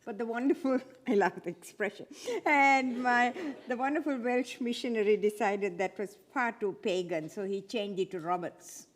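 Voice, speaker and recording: A woman's voice: a little high, normal speed, hardly any pauses. A woman in her seventies from Sri Lanka, giving a chatty church talk with self-deprecating humour. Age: 70s. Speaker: guest speaker. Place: church hall.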